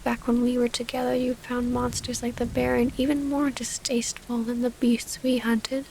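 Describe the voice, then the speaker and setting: A woman playing a gentle, formal vampire noblewoman and wounded lover in an intimate ASMR roleplay, speaking in a soft, breathy half-whisper. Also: on the high side, little pitch movement, moderate pace, slight background noise, rough recording. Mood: hurt, confronting.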